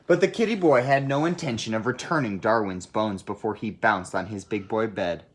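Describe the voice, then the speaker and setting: A teacher reading a storybook aloud to children, expressive and speaking directly to young listeners. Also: medium pitch, normal speed, husky. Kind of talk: friendly storybook read-aloud.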